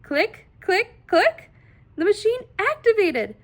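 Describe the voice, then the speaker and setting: A woman reading a children's story aloud in an expressive, deliberate style with dramatic emphasis on key words. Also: extremely high pitch. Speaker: children's read-aloud host.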